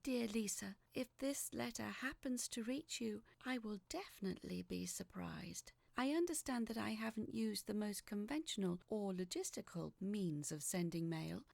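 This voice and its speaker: A woman narrates a scripted, dramatic first-person story. Her voice is full of gravelly creak and drops softly at the ends of phrases. She keeps a measured delivery, and the sound is dry, with no room around it. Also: medium pitch, dry recording. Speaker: storyteller reading a letter aloud.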